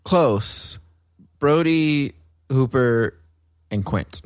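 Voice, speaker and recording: A man's voice: mid-range voice, expressive, drawn-out words, smooth, no echo, clean studio sound. A man co-hosting a podcast in laid-back casual chat, rambling through stories and often saying "like". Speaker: podcast co-host.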